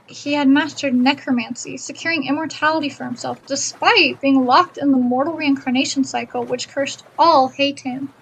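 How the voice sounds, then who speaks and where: A woman plays a learned female privateer in a fantasy audio drama. Her voice is high, and she explains things earnestly and precisely, like someone giving a lecture. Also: fairly even intonation, normal speed, hardly any pauses, slight background noise, low-quality recording. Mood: grave storytelling.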